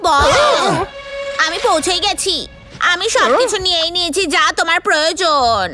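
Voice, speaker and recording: A sneaky little cartoon cat henchman. The voice is extremely high, eager and servile, over a music track. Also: normal speed, pushed and bright. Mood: sneaky glee. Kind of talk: dubbed children's cartoon acting.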